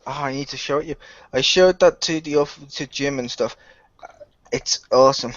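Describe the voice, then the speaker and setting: A man's voice: mid-range voice, normal speed, a bit bright, dry recording. Joking man cursing casually. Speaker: cast member. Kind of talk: casual chat. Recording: dull lo-fi audio.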